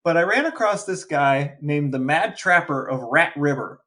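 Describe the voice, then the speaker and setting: A man co-hosting a podcast, chatting animatedly with frequent restarts and excited jumps in pitch. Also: moderate pace. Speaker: podcast co-host. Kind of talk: casual chat.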